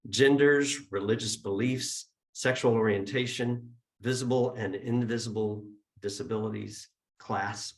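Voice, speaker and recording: A man giving chatty, informal opening remarks at a church service, speaking to a hall audience and online viewers on a mic that sometimes drops out. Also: medium pitch. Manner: chatty and informal, with ums and uhs.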